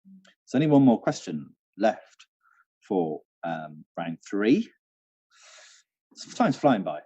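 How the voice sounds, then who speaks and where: Mellow, lively man hosting a quiz, roomy sound. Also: fairly low pitch, takes it slowly, muffled recording. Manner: chatty, repeats questions clearly for listeners. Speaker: quiz host, theatre venue manager. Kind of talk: casual live quiz hosting with banter.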